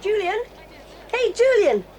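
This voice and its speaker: An actress voicing a proud, quick-tempered tomboy girl in an audio drama, talking in a brisk, bossy and eager way. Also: extremely high pitch, big pitch swings, darkish tone, some chatter behind, slight background noise, full character voice. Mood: excited, lively. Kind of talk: 1980s audio drama acting.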